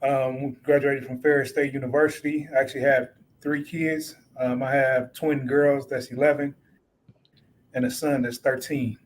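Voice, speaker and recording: A man's voice: mid-range voice, little pitch movement, moderate pace. A man from Detroit with an American accent, giving casual conversational answers as a panel guest, repeating words as he searches for phrasing. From Detroit, Michigan, USA. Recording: clear background noise.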